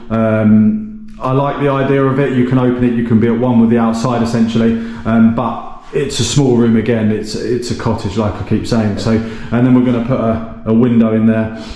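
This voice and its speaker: A man's voice: medium pitch, dark tone. A man hosting a renovation channel, giving a casual walkthrough tour of a gutted small cottage with plenty of ums and self-corrections. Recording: echoey bare rooms.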